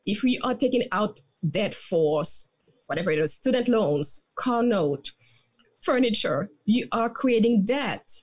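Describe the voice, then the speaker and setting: A woman's voice: mid-range voice, lively intonation, a little slow, darkish tone, husky. A woman in her thirties who grew up in Haiti, a dentist guest explaining her views earnestly on a headset mic at home. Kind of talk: interview conversation. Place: home room.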